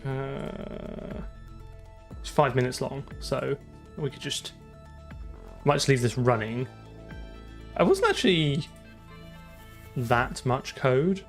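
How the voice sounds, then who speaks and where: A man's voice: mid-range voice, very animated, slow, pauses often, soft background music. A man chatting casually on a live coding stream, thinking aloud in an informal way. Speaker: streamer and game programmer.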